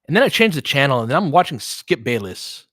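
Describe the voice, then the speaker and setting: A man's voice: medium pitch, big pitch swings, fairly quick, fairly bright tone, no room sound, studio quality. A man hosting a sports podcast, chatting off the cuff in an earnest, rambling, freely profane way. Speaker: podcast host and sports play-by-play streamer. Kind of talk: unscripted casual chat with listeners.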